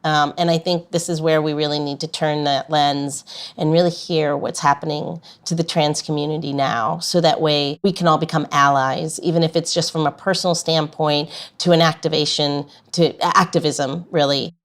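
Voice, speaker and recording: A woman's voice: sits low, fairly even intonation, normal speed. A woman hosting a podcast, speaking in an earnest, conversational interview style. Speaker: podcast host.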